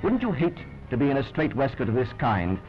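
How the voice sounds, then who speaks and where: A man's voice: medium pitch, sing-song, a little fast, lo-fi sound, soft background music. A British man narrating a 1940s wartime newsreel in a wry, clipped, understated manner, on an old film soundtrack.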